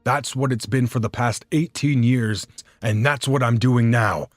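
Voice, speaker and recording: A man's voice: medium pitch, a little slow, no echo, clean studio sound, soft background music, lightly acted. A man playing a cautious, rule-bound fairy guardian in a fan audio drama, arguing with reproach and sarcasm. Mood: stern, protective.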